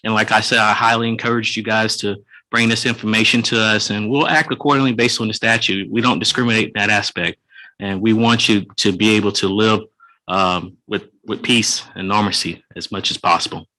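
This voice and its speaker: A police chief addresses a city commission, his voice sitting on the low side with a bright, forceful edge. He speaks earnestly and formally, aiming to reassure. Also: steady tone, a little fast, low-quality recording. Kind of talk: reporting at a public meeting.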